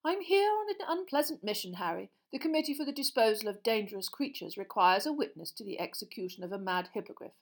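A woman's voice: medium pitch, lively intonation, fast talker, few pauses. A woman voicing a fussy, falsely cheerful middle-aged male politician in a storybook reading. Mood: official.

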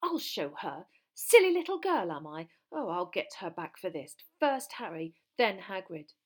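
A woman voicing a fierce, hot-tempered teenage schoolgirl with a shrill, emphatic delivery in a story reading. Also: normal speed. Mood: furious, defiant.

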